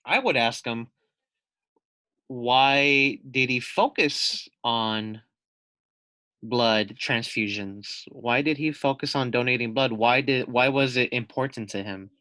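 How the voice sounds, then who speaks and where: A man's voice: medium pitch, slow pace, sharp tone, no echo. A man giving a read-aloud lesson for young children as their teacher, explaining step by step, posing questions and repeating key points.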